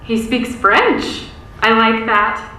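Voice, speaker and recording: An actress in a stage play playing a bold, playfully flirtatious companion. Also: female voice, a little high, expressive, slow, pushed and bright, heavily acted. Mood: playful, teasing. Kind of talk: live stage play acting. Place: theatre stage, distant microphone. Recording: echoey, distant theatre, faint noise.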